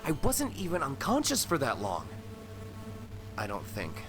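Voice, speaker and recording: A man's voice: medium pitch, huge pitch swings, unhurried. An actor playing a rattled delivery driver who rambles and corrects himself as he mutters to himself in an audio drama. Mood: rambling, wry. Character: rattled, rambling delivery driver disoriented after head injury. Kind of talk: post-apocalyptic audio drama monologue. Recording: radio transmission with music behind.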